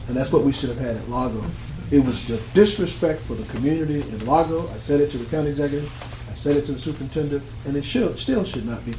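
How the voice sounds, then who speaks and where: A man's voice: mid-range voice, fast, dark tone, roomy sound, slight background noise, rough recording. A man speaking up at a community meeting, emphatic and argumentative, using rhetorical questions. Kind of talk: public comments at a meeting. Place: art gallery meeting room.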